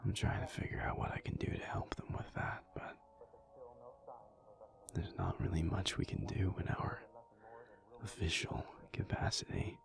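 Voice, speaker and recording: A man playing a weary, troubled alpha werewolf pack leader who confides in a hushed, halting, intimate audio roleplay monologue. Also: deep voice, steady tone. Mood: weary, frustrated. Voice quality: hushed, breathy whisper. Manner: slow, halting, pensive.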